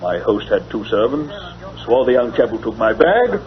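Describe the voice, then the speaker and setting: Actor as a fussy, indignant English gentleman, dull recording. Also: medium pitch, very animated, normal speed, natural voice. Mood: aggrieved recounting. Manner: pompous, formal. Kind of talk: old-time radio drama acting. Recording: dull lo-fi old radio recording.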